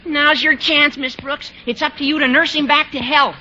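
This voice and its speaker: High-pitched eager schoolboy. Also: male voice, steady tone, normal speed, pushed and bright, full character voice. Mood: insistent, excited. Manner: bossy, earnest, gee-whiz. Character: eager, squeaky teenage schoolboy. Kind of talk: 1940s-50s radio sitcom acting. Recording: muffled vintage radio, light noise.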